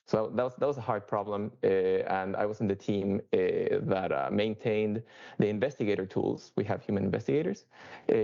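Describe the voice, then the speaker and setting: A low-voiced man with a Chilean Spanish accent, speaking English as a second language. His tone is gravelly and mellow, full of fillers and restarts. The audio sounds muffled and lo-fi. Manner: halting, many fillers and restarts. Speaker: software engineer speaker. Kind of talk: casual work talk.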